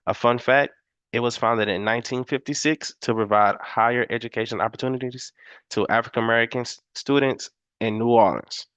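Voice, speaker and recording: Casual man, stream host, middle pitch, dry close sound. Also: steady tone, fairly quick, a bit bright, clear, resonant tone, dry recording. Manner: casual, addresses chat with quiz questions. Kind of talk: hosting a live stream.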